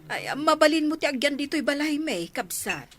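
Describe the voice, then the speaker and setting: Woman playing a lively, hospitable Ilocano village mother. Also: high voice, fairly quick. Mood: welcoming. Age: middle-aged. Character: hospitable, plain-spoken widowed village mother. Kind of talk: Ilocano comedy radio drama acting.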